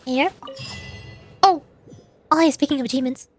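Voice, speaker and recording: A woman with a high-pitched voice chats casually as she streams a game. She reads things aloud and stumbles over difficult words. Soft music plays behind her. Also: normal speed, no room sound. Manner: chatty, stumbles over hard names, reading chat aloud. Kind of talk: casual livestream chat while gaming.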